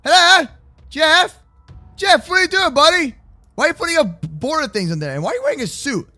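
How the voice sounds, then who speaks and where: Very high-pitched, excitable young man. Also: brisk. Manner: exclamatory, slangy, often shouting, playful. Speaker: host of a gaming roleplay channel. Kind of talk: excitable children's gaming roleplay commentary. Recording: faint music behind the voice. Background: faint music.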